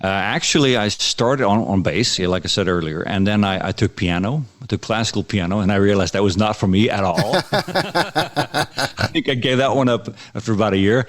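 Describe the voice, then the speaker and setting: A man from Iceland, a country singer, answering interview questions in a relaxed, halting way and often repeating words. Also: on the low side.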